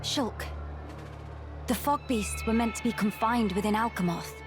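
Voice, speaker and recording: A woman playing a composed, noble young leader in a video game, earnest and commanding, with formal, measured diction. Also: on the high side, over music, lightly acted. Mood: serious. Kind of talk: video game cutscene acting.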